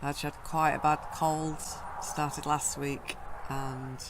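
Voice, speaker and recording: A woman vlogging casually from her garden greenhouse, her voice croaky after a cold, chatting in a rambling way with frequent ums. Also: low voice, level tone, unhurried, background noise. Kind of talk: casual gardening vlog chat.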